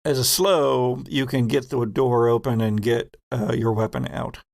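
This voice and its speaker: A man's voice: medium pitch, steady tone, a little slow, creaky, a little mellow, no room sound, clean studio sound. A man narrating a horror tabletop roleplaying game as game master, in a descriptive storytelling manner.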